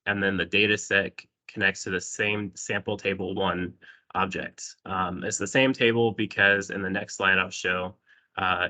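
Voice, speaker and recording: A man with a cold giving a remote technical presentation over a call, sounding congested, often clearing his throat and saying um. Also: deep voice, bright, forceful tone. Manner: frequent throat clearing and ums. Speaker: presenter at a user group.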